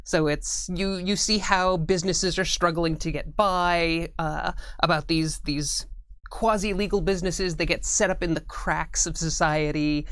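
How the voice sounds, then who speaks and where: A woman's voice: fairly low pitch, fairly even intonation, measured pace, a bit bright, dry recording. A woman speaking as a guest writer in a casual interview, with a dry, joking, self-deprecating manner. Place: studio.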